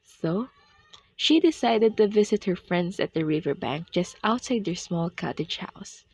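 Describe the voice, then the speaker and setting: A woman's voice: fairly low pitch, animated, measured pace, gravelly, a little mellow, slightly rough, dry recording, low-quality recording. A young woman reading scripted storytelling narration for a radio drama. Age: young adult.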